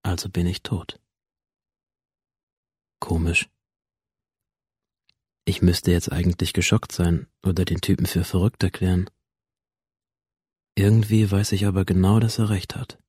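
An actor playing a detached, sardonic teenage loner who narrates his thoughts in a deadpan, laconic way for a radio drama. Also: deep voice, a little slow, pauses often, breathy edge, dry recording, studio quality. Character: dead teenage school shooter's digital memory copy, detached, sardonic loner. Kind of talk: radio drama, first-person inner narration.

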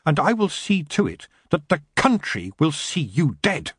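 A man's voice: on the high side, huge pitch swings, a little slow, breathy edge, no room sound, clean studio sound, voice pushed up, exaggerated voice. A man doing a cold, defiant young witch girl. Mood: cold fury.

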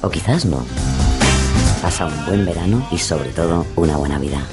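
A man's voice: low-pitched, animated, normal speed, loud music behind the voice. A man with a Spain accent narrating and acting in colloquial scripted radio fiction.

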